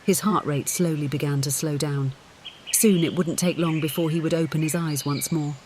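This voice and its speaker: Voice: medium pitch, fairly even intonation, moderate pace, noisy background. An audiobook narrator reading a scripted story in the third person.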